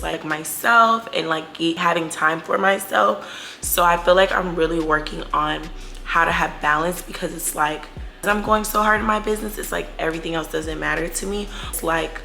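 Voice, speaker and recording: A woman's voice: fairly low pitch, normal speed, creaky, sharp tone, soft background music. A woman in her twenties talking casually in a question-and-answer vlog, slangy, chatty and candid, stretching words for emphasis. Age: 20s. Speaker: YouTube vlogger.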